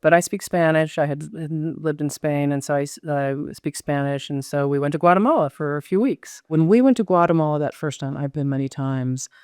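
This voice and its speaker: A woman's voice: deep voice, brisk, few pauses, darkish tone, clean tone, no echo, studio quality. A middle-aged American woman talking as an interview guest in a thoughtful, self-questioning, digressive way. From United States. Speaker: novelist, podcast guest. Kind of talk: reflective conversational interview.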